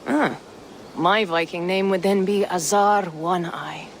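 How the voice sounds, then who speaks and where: A woman's voice: fairly low pitch, steady tone, slow pace, a little hiss or hum. An actress playing a shrewd, courteous trader woman from the east in a video game, with formal, wry, playful phrasing. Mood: grateful, wry. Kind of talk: video game cutscene acting. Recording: music under the voice.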